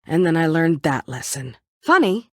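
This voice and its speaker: A woman's voice: low-pitched, sing-song, moderate pace, darkish tone, no echo, clean studio sound. An actress playing a bitter, world-weary woman survivor set on vengeance in a story video game, brooding, curt and dryly sardonic. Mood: tense, indignant. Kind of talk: dramatic video game voice acting.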